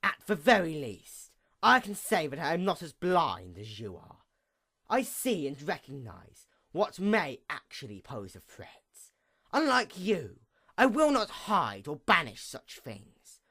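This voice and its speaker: A woman voicing a proud, wounded pony goddess of night in a theatrical villain monologue, menacing and grandiose with a gravelly creak. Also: low-pitched, big pitch swings, a bit bright, full character voice. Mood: grim resolve. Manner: theatrical, menacing, grandiose, with slow drawn-out emphasis. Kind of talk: dramatic villain monologue, fan fiction reading.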